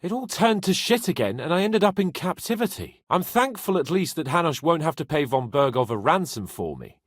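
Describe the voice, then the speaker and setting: Haughty young nobleman, wildly swinging pitch. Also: big pitch swings, brisk, breathy edge, no room sound, clean studio sound. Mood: bitter, self-pitying. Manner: imperious, sweary, theatrical. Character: haughty, hot-tempered young nobleman, foul-mouthed. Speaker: video game voice actor. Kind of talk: medieval role-playing game dialogue.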